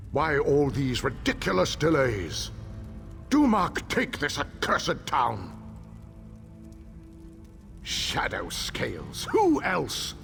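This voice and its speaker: A man's voice: medium pitch, creaky, fairly bright tone, full character voice. A man playing a bitter, impatient townsman in a video game, speaking with scornful, emphatic indignation. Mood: indignant, impatient. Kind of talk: video game character acting.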